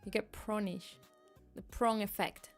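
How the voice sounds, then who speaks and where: A woman's voice: mid-range voice, steady tone, measured pace, soft background music. A woman streamer, chatting casually and giggling often, restarting her sentences. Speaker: VTuber streamer. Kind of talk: casual chat reading live chat.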